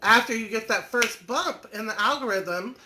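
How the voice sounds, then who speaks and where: A woman's voice: a little high, moderate pace, noisy background. A woman, hosting a live stream, chatting folksily and teasing viewers by name as she reads their comments. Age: 40s. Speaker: online business community host.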